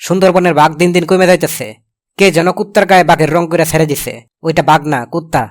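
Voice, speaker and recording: A man's voice: a little high, fairly even intonation, fast, lightly acted. A man voicing a brusque, dry village uncle in a comedy cartoon, with a colloquial Bangladeshi accent. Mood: dry, dismissive. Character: gruff, grudging village uncle looking after nephew.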